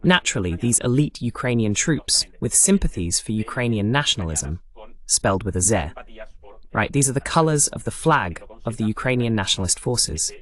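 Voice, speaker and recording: A man delivers live news commentary as a host. His pitch swings widely and animatedly from phrase to phrase, and he drops in pointed, sardonic asides as he explains. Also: very animated, normal speed, fairly bright tone. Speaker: host of a political commentary broadcast.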